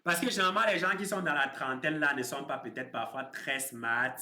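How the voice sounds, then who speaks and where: Bright-voiced man in his thirties hosting a stream. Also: a little high, a little fast. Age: 30s. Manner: chatty, teasing viewers, then explaining a course calmly. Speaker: crypto live stream host. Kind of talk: casual live stream chat.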